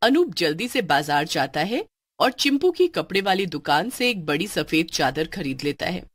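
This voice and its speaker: Lively woman storybook narrator. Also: fairly low pitch, normal speed, no echo, clean studio sound.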